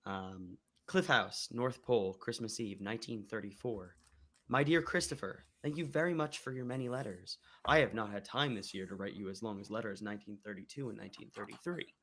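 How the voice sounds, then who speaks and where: A man reading old letters aloud on a livestream, deliberate and measured, while getting over an illness. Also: fairly low pitch, fairly bright tone. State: recovering from an illness. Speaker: guest reader.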